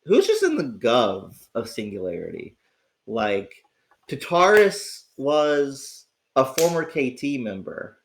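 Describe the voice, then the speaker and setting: A man with a raspy, slightly sick voice talks casually on his own livestream from home. His pitch swings widely as he rambles, restarts his sentences and swears when he gets excited. The talk is loose and unscripted, aimed at his chat. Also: slow pace, slight background noise. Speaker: livestream host.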